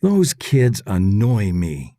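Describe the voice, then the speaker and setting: A man voicing a practical, determined young girl in a children's storybook, with plain, deliberate phrasing. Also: lowish voice, wildly swooping pitch, warm tone, no echo, clean studio sound, pitched below the performer's own voice, full character voice. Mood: annoyed.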